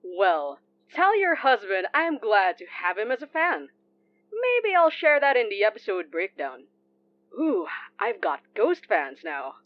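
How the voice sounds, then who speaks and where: A woman's voice: medium pitch, sing-song, no room sound, highs cut off. A woman playing a polite, composed non-binary late-night radio DJ in an audio drama. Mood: friendly, amused. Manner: polite, unhurried. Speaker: radio show host. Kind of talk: conversational audio drama acting.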